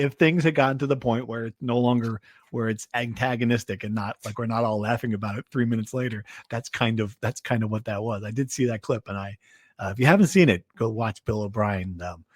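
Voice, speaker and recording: A man's voice: fairly low pitch, steady tone, a little fast, no room sound, clean studio sound. A man co-hosting a sports podcast in a casual, conversational and slightly wry way.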